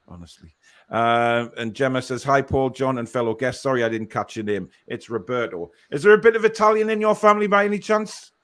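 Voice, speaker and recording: A man's voice: medium pitch, animated, normal speed, a bit bright. A man hosting a live football fan show, chatting casually and reading out viewer comments.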